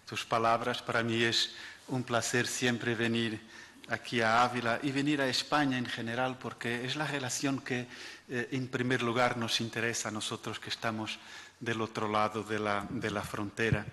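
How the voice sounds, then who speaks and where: A man's voice: mid-range voice, flat delivery, moderate pace, sharp tone. A Portuguese man, a non-native Spanish speaker with a Portuguese accent, giving an academic lecture with frequent hesitations and restarts. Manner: hesitant, many filler sounds and restarts. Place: lecture hall. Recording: reverberant lecture hall.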